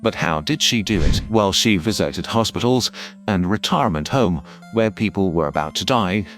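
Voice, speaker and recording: A man with a fairly low voice reads a scripted story recap as a video narrator. Soft music sits behind his narration. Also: lively intonation, moderate pace.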